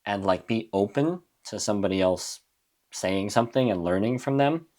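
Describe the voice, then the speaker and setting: Young adult male guest, very low voice, reflective and halting. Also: darkish tone. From USA (New Mexico). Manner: reflective, halting, frequent restarts. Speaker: guest musician. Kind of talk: casual interview chat.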